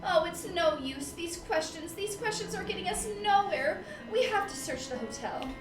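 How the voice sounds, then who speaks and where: Woman playing a high-voiced, flighty, vain film starlet. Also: expressive, normal speed. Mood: pleading, flighty. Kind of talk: comic noir audio drama acting. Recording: roomy echo, music underneath.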